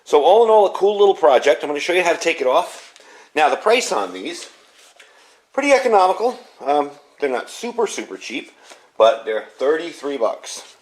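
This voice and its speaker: A man's voice: a little high, very animated, moderate pace. A man giving a casual hands-on gear review indoors, explaining things in a rambling, informal way. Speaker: product reviewer. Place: indoors at home.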